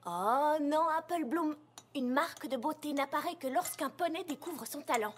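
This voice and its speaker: Gentle, high-voiced sensible cartoon pony. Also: female voice, animated, moderate pace, slight background noise. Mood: reluctant. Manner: gentle, explanatory. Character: kind, sensible, reluctant young female magical pony. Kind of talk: animated children's cartoon dub. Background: music.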